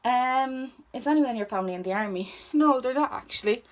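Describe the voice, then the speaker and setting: A woman's voice: a little high, animated, fairly quick. Chatty young Irish woman vlogging. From Ireland. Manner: chatty, informal, self-deprecating, exclamatory. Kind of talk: casual chatty question-and-answer vlog. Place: indoors at home, sitting on the floor.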